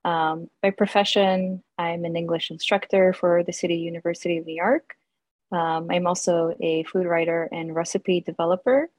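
A woman's voice: on the low side, steady tone, moderate pace, a little mellow, dry recording. Young american woman guest on a podcast, friendly, lots of ums, dry close mic. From United States. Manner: friendly, many ums and uhs, laughs. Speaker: guest, English instructor and food writer. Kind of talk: casual interview.

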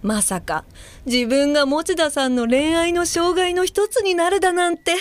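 A woman's voice: extremely high pitch, moderate pace, no room sound. A woman acting in an audio drama as an earnest, self-reflective guide helper, who also narrates in the first person. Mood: pensive, regretful. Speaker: lead actor and narrator.

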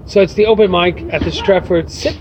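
A man's voice: sits high, fairly even intonation, fairly quick, a crowd in the background. A man answering interview questions reflectively, filling his thoughts with "you know" and "uh". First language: English. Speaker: guest writer. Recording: muffled with faint background noise.

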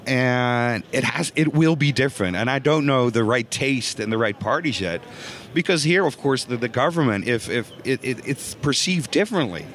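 A Dutch-accented man speaks as a podcast guest, at an ordinary male pitch. He talks earnestly but haltingly, with frequent fillers and restarts as he searches for words. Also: animated, a bit bright. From Netherlands. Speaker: guest, voice technology consultant. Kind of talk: podcast interview.

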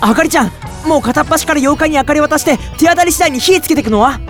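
A woman playing a gentle, easygoing boy in an audio drama, with a casual, friendly, boyish way of talking. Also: high voice, quick pace, soft background music, lightly acted. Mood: eager. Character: gentle, easygoing boy caught in spirit world. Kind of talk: audio drama acting with first-person narration.

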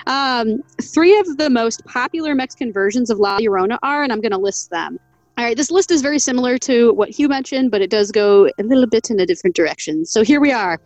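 A woman's voice: fairly high pitch, expressive, brisk, no echo, faint music behind, poor audio. A woman co-hosting a podcast, reading from an article in a casual, chatty way, laughing often and stumbling over names. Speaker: podcast co-host.

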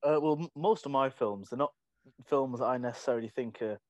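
A man's voice: mid-range voice, a little fast, no echo. A man co-hosting a casual podcast, hesitant with many restarts but firmly opinionated. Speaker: podcast co-host.

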